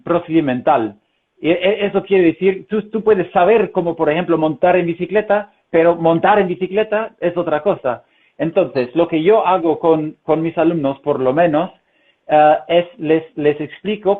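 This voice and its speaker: A man who is a non-native Spanish speaker with a Castilian accent, talking hesitantly with many fillers as an interview guest on a video call. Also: on the high side. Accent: Castilian Spanish, learned as a second language. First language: German. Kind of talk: conversational interview. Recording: tinny phone-like call audio.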